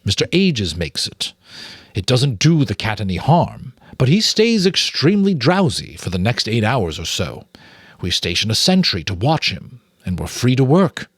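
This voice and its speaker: A practical male rat, read by a man, explains things helpfully and plainly. His voice is mid-pitched and animated, close and dry, in audiobook style. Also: slightly rough, no room sound, heavily acted. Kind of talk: dramatic audiobook reading of a children's novel.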